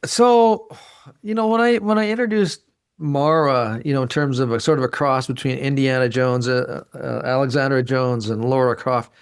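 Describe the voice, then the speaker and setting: A man's voice: medium pitch, steady tone, moderate pace, smooth, soft background music. A man hosting a podcast in casual conversation, speaking in an enthusiastic, rambling way and often repeating himself. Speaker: podcast host.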